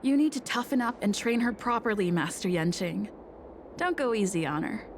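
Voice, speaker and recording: A woman's voice: medium pitch, lively intonation, moderate pace, a little hiss or hum, close to an everyday voice. Woman playing a casual young female adventurer. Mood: teasing. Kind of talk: game cutscene acting. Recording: prominent music behind the voice.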